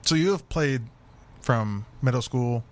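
A man's voice: mid-range voice, measured pace. A man co-hosting a sports radio show, chatting casually and explaining things step by step. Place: radio studio.

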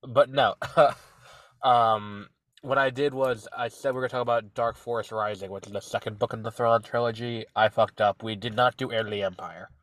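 A man's voice: medium pitch, fairly even intonation, normal speed, a bit bright, no room sound. Congested, nasal man rambling casually. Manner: rambling, hesitant, many fillers and restarts. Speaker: podcast co-host. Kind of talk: casual chat.

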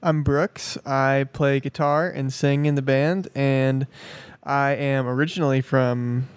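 A man's voice: mid-range voice, little pitch movement, takes it slowly, clear, resonant tone, no echo. A young man from East Texas, a guitarist and singer, chatting on a podcast in an easygoing, wry way. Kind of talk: casual podcast chat.

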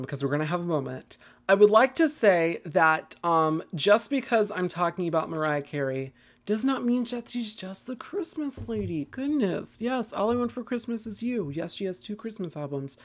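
A woman's voice: lowish voice, lively intonation, moderate pace. A woman hosting a solo podcast in a casual, rambling way, with a gushing, theatrical and emphatic manner. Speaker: podcast host.

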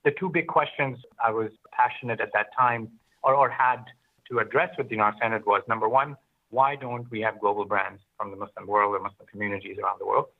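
A middle-aged man speaks as an interview guest, with fry running through his voice. He recounts his experiences reflectively, often restarting and repeating words. The sound is narrow and thin, like a phone line. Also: lowish voice, steady tone, fairly quick. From Pakistan, grew up in Oman. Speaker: interview guest, business founder. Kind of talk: conversational personal storytelling.

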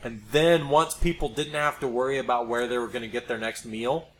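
A noisy amateur podcast recording of a middle-aged American man. He talks casually as a co-host, spinning out hypothetical ideas at a mid-range pitch. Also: steady tone, a little fast. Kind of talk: casual speculative chat. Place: home studio. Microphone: podcast microphone.

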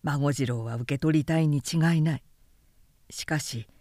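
Woman voicing a low, brooding young samurai. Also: measured pace, dark tone, no echo, studio quality. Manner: grave, resolute, old-fashioned samurai speech. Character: brooding, proud young samurai elder brother.

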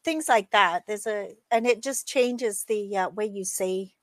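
A woman's voice: mid-range voice, unhurried, a bit bright, no echo. Chatty middle-aged woman, dry sound. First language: Estonian. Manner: chatty, laughing, trailing restarts. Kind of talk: casual chat.

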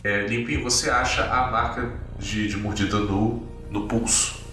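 A Brazilian man working as game master, improvising tabletop RPG narration in a halting, descriptive way with frequent tag questions. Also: on the low side, steady tone, bright, forceful tone. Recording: very echoey room, distant, music behind. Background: music.

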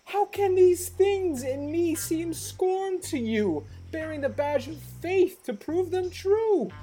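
A woman's voice: extremely high pitch, animated, slow, mellow, faint music behind, full character voice. A woman playing an earnest, lovestruck young male suitor in a Shakespearean verse radio play, pleading emphatically and theatrically.

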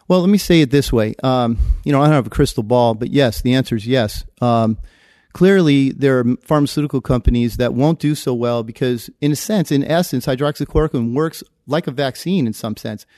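A man's voice: medium pitch, brisk, darkish tone, no room sound, studio quality. A man, a physician guest, answering interview questions with many fillers and restarts.

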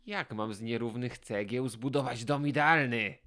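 A man voicing an anxious, self-pitying perfectionist crocodile builder in a children's audio fairy tale, theatrical and halting. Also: medium pitch, a bit bright, natural voice. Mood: fretful.

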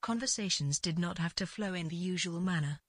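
A woman with a husky voice narrating literary fiction as a scripted read, in deliberate phrases with pauses. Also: lowish voice, dry recording, clean studio sound.

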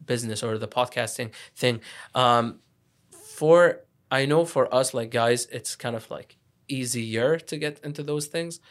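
A young man from Iraq hosting a casual podcast conversation, talking fast with many restarts and fillers. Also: medium pitch. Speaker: podcast host.

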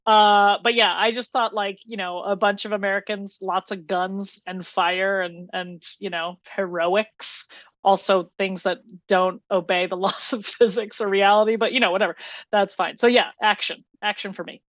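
A woman's voice: medium pitch, steady tone, moderate pace, no room sound, highs cut off. A woman chatting casually as a podcast guest and panelist. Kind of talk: casual chat.

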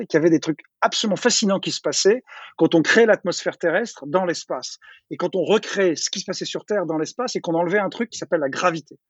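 Middle-aged man, fast and husky, chatty interview guest who restarts his phrases, dry dull sound. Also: a little high, no echo. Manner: talkative, many restarts and repeated words. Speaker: entrepreneur interviewee. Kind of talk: casual conversational interview.

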